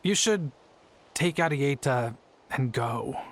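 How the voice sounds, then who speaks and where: A man's voice: mid-range voice, animated, slow, slight background noise. A man playing a timid, guilt-ridden father in a video game, speaking hesitantly and apologetically. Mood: remorseful.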